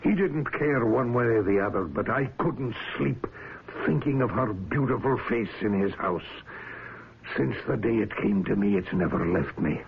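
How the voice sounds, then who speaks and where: Haunted lovesick ship captain, gravelly n slow, big theatrical swings, old muffled radio. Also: male voice, lowish voice. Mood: anguished, brooding. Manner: brooding, theatrical, intense. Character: obsessed, lovelorn, haunted male ship's captain, stern and brooding. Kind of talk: old-time radio drama acting. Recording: muffled, hissy old radio broadcast recording.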